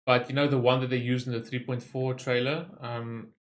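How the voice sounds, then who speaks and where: A man's voice: medium pitch, little pitch movement, normal speed, a little hiss or hum. A man giving casual, opinionated gaming reaction commentary, talking in a rambling, enthusiastic way as a video host.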